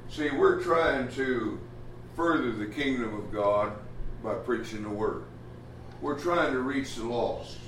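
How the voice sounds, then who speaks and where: A man's voice: medium pitch, fairly bright tone, a little hiss or hum. Plainspoken preacher guy, lots of uhs, roomy echo and mic set far off. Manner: plainspoken, folksy, halting with many uh fillers. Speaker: pastor. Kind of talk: sermon. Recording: echoey room from far off, faint ambience.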